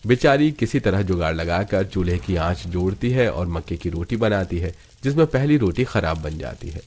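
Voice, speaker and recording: A man's voice: low voice, lively intonation, normal speed, darkish tone, soft background music. A man narrating a scripted cartoon family story.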